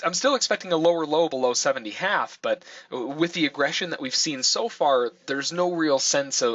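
A man with a medium-pitched voice talks through charts like an instructor. He explains his reasoning out loud in a casual, running commentary. The sound is close and dry, with no room around it. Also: no room sound. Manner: explanatory, thinking aloud. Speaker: day trading instructor. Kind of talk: casual live trade commentary over charts.

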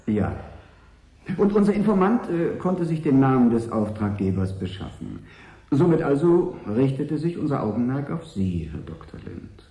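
An actor playing a smooth, polite but ruthless consortium agent in a radio drama, articulate, wry and persuasive. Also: male voice, mid-range voice, big pitch swings, moderate pace, mellow, roomy sound. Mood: smooth, businesslike. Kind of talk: 1980s German radio drama acting.

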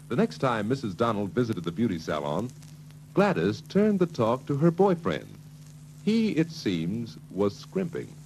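A man narrating a 1940s sales training film in a scripted storytelling style. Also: mid-range voice. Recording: noisy, humming 1940s film soundtrack.

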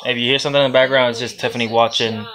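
A man's voice: medium pitch, little pitch movement, racing, a crowd in the background, poor audio. Loose-talking Puerto Rican young man. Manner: loose and rambling, with laughs and swearing. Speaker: reptile breeder and vlogger. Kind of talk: casual how-to vlog. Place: indoor reptile room lined with storage tubs. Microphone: phone held close at arm's length.

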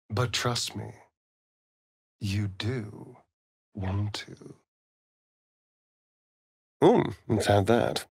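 A man with a British accent and a breathy voice playing a vain, sardonic, flirtatious vampire spawn in a video game, with an arch, mocking drawl. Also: mid-range voice, dry recording, studio quality, exaggerated voice. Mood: seductive, ominous, then curious, brisk. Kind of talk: theatrical video game character acting.

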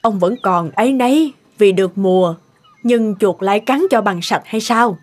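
A woman's voice: mid-range voice, normal speed, a little hiss or hum, natural voice. A woman playing a worried, nagging middle-aged village farmer's wife in a cartoon, fretful and scolding. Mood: chiding, resigned. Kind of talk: cartoon dialogue.

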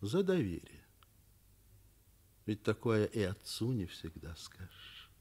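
Fatherly, expressive Soviet school director. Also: lowish voice, takes it slowly, husky, exaggerated voice. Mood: sympathetic. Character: kindly, firm male school director, Nikolai Ivanovich. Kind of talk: Soviet radio drama acting.

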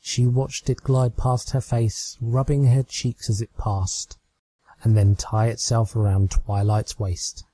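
A man's voice: mid-range voice, little pitch movement, slow pace, dark tone. A man reading fan fiction aloud as a scripted story narrator.

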